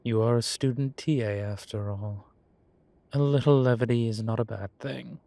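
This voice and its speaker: A man playing a composed, teasing demon professor in a roleplay audio, speaking in a formal, measured and wry way. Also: mid-range voice, fairly even intonation, vocal fry, mellow, dry recording, natural voice. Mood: patient, explaining. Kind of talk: scripted roleplay audio, one side of a conversation.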